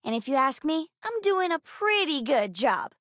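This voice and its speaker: A woman voicing an eager, precocious young girl in a video game, earnest and expressive. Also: sits high, moderate pace, dry recording, studio quality. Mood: cheerful, confident. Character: bright, eager, bookish young girl aboard a spaceship. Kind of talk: video game character voice lines.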